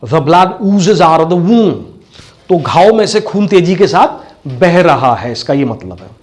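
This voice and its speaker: Male accent coach, Indian accent, voice a little higher than average, instructive classroom style with deliberate repetition. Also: very animated, darkish tone. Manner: didactic, repeats key phrases. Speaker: voice and accent teacher. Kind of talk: bilingual classroom lecture.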